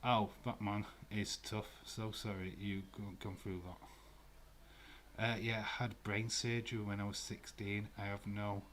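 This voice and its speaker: A man's voice: lowish voice, flat delivery, a little slow, fairly bright tone, a little hiss or hum. A man with a Glasgow accent hosting a live watch-along stream from a room at home, chatting casually with viewers in a rambling way with many fillers. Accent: Glaswegian. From Scotland.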